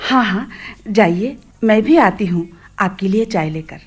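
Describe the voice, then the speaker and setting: A woman's voice: medium pitch, lively intonation, measured pace, breathy edge. An actress playing an anxious, grateful mother in a radio play, polite and earnest. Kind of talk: Hindi radio play acting.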